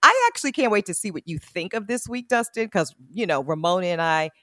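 A woman attorney hosting a podcast, chatting frankly and with slang, laughing often, with a big booming voice. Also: lively intonation, a little fast, pushed and bright, no room sound, clean studio sound. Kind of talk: casual podcast chat.

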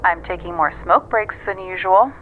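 A woman's voice: on the low side, moderate pace. A woman acting in an audio drama as a sardonic, self-assured investigator relaying findings matter-of-factly over a phone line. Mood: wry. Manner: matter-of-fact, dryly wry. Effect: telephone filter. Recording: tinny phone line, music underneath.

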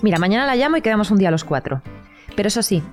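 A woman's voice: medium pitch, animated, racing, faint music behind, natural voice. An actress playing a bossy, teasing, health-minded young woman in conversational audio comedy. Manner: conversational, correcting, assertive. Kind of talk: Spanish-language audio fiction comedy acting.

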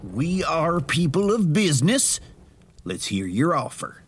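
A man's voice: a little high, very animated, a little slow, husky, heavily acted. A man acting in an audio drama as a pompous, scheming heir to a crime syndicate, speaking formally and with self-importance. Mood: formal, businesslike.

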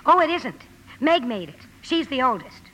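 High-voiced, blunt young tomboy. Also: female voice, sing-song, normal speed, fairly bright tone, heavily acted. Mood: chatty, flustered. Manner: rambling, self-correcting, outspoken. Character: blunt, impulsive tomboyish young woman, aspiring writer. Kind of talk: 1950 radio drama acting. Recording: noisy vintage 1950 radio broadcast.

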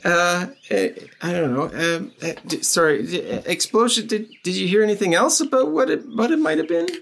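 A man's voice: high-pitched, expressive, normal speed, gravelly, a bit bright, voice pushed up, heavily acted. Man doing a dim teen half-orc boy. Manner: rambling, hesitant, full of fillers.

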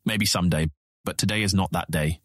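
Low-voiced, courteous but watchful prison guard. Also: male voice, no echo, clean studio sound. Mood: gently evasive. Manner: formal, measured, firm wording. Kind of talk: full-cast fantasy audiobook acting.

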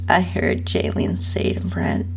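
A woman narrating an intimate first-person adult story in a breathy, half-whispered delivery. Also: extremely low pitch, huge pitch swings, measured pace, faint music behind. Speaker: host and narrator reading own story.

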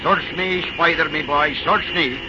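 Man as a high-voiced Irish railroad engineer. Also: fairly even intonation, a little slow, background noise, natural voice. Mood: gossipy. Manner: colorful, repetitive brogue phrasing. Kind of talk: old-time radio drama acting. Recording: muffled old radio recording, loud backing sound.

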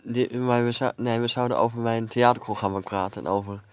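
An actor playing a bemused, reluctant comedian guest in a radio comedy, hesitant and objecting in a natural way. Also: male voice, mid-range voice, steady tone, a little fast, smooth, no echo, lightly acted. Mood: bewildered.

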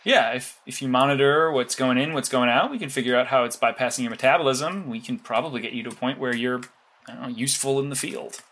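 A man's voice: medium pitch, fast, fairly bright tone, lightly acted. A man playing an analytical superhero mastermind in an audio drama, with a precise, explanatory way of talking. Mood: analytical, matter-of-fact. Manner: precise, explanatory, matter-of-fact. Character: cerebral analytical male superhero mastermind guiding a young intern.

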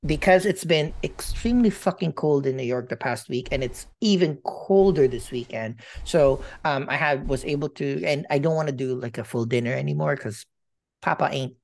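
A man giving personal updates in a casual chat, rambling and halting with many fillers and casual swearing. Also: fairly high pitch, gravelly.

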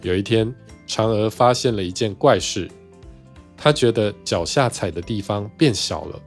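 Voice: medium pitch, moderate pace, soft background music. A storyteller narrating a scripted children's tale in simple storybook phrasing.

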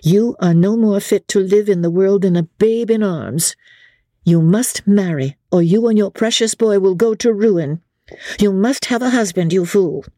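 A woman's voice: mid-range voice, unhurried, mellow, dry recording, clean studio sound, voice pushed up, lightly acted. A woman voicing a worldly, scheming young woman who scolds with contemptuous scorn. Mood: scornful.

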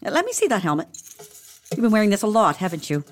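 An actress playing a brisk, no-nonsense royal physician with a wry, matter-of-fact manner in a comedic audio drama. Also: female voice, mid-range voice, big pitch swings, natural voice. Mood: dry, brisk.

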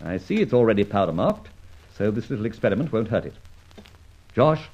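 An actor narrating and playing a suave, confident masked gentleman adventurer in an old radio drama, with a mocking, commanding manner. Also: on the low side, sing-song, fairly quick, breathy edge. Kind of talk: 1940s radio acting. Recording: dull old radio recording.

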